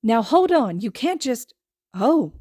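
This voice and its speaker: A doting, nervous mother, played by a woman narrator in a story. Her voice lifts high as she fusses, and she tends to falter mid-phrase. It is recorded very dry. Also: very animated, mellow, husky, no echo, full character voice. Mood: nervous, flustered. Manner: halting and fussing. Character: doting, anxious, flustered young mother.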